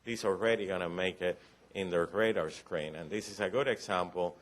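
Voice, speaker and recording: A man's voice: sits low, brisk. A man with a Cuban accent briefing county commissioners on recidivism data, explaining patiently with frequent restarts and light joking. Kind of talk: formal briefing. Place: county commission meeting room.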